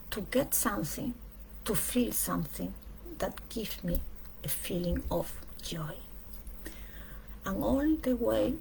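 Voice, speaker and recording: Female speaker, for a reflective spiritual talk; unhurried delivery in short weighted phrases, gravelly creak in the voice. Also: mid-range voice, darkish tone, a little hiss or hum, low-quality recording. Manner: deliberate, emphatic phrasing in short pieces with pauses.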